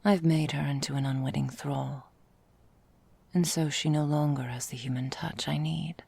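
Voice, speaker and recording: A woman playing an ancient, elegant vampire mistress in an ASMR roleplay, speaking formally and deliberately in a breathy, gravelly, whispery voice. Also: sits low, steady tone, warm tone, full character voice. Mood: regretful, hushed. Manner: slow, formal, theatrical, deliberate.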